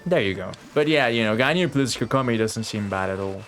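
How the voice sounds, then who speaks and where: A man giving casual live commentary as a game streamer, reacting out loud and swearing freely. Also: fairly low pitch, animated, fairly quick. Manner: profane, reactive, talks to game and viewers. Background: game music.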